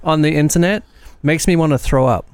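A man's voice: a little high, brisk, background noise. A man with a South African accent, chatting as a guest on a podcast in a rambling way, full of filler words. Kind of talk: casual podcast chat.

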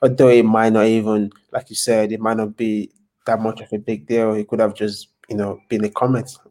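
Guy from nigeria guesting on a podcast, lowish voice, lots of ums, thinks as he talks. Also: little pitch movement, brisk, a little mellow. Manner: hesitant, thoughtful, many ums and restarts. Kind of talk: casual interview chat.

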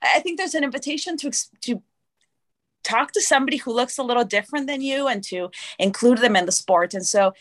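A woman's voice: a little high, fairly quick. A woman from Canada speaking thoughtfully as a panel guest, often restarting words. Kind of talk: casual panel discussion.

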